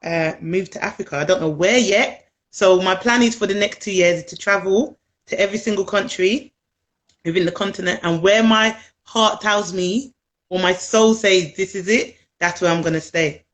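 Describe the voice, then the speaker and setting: A woman's voice: mid-range voice, moderate pace. A young British-born woman calling in as a viewer, talking earnestly in a casual live chat over a video call. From England. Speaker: viewer guest.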